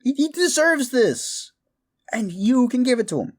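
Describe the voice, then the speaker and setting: A man's voice: extremely high pitch, big pitch swings, unhurried, full character voice. A man playing a quirky, mischievous necromancer in a comedic audio roleplay, rambling eagerly and casually with slangy stammers. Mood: persuasive, mischievous.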